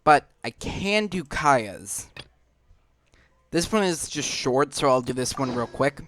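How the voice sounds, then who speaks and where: A man hosting a gaming video, explaining things informally in a halting, casual way as he plays. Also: mid-range voice, animated, fairly bright tone, no echo. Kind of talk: casual gameplay commentary. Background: faint game music.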